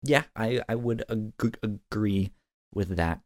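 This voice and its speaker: A man co-hosting a casual podcast chat, speaking haltingly and repeating words as his thoughts form. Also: fairly low pitch, darkish tone, dry recording, studio quality. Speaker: podcast co-host reviewing an audio drama.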